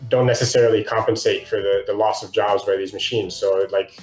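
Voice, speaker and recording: A man's voice: fairly low pitch, steady tone, fairly quick, darkish tone, soft background music. A man in his thirties co-hosting a casual explanatory discussion over a video call from a room at home. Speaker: co-host of a read-along book discussion show. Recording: roomy, echoing video-call audio.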